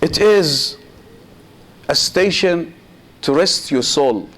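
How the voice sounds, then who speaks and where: Man preaching in slow measured phrases. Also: a little high, animated, a bit bright. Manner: slow, measured delivery in short phrases with pauses; addresses listeners directly and asks rhetorical questions. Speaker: sheikh giving a Muharram sermon. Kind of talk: sermon.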